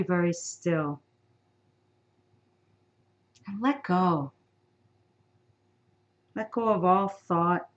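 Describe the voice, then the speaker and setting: A woman leading a guided meditation in a soothing, unhurried manner, with long pauses between phrases. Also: fairly low pitch, highs cut off.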